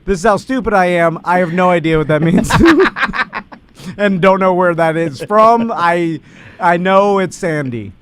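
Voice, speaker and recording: A man's voice: high voice, lively intonation, measured pace, fairly bright tone, slight background noise. Laughing self-mocking man co-hosting. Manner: self-deprecating, laughs often. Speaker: radio show co-host. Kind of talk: casual chat.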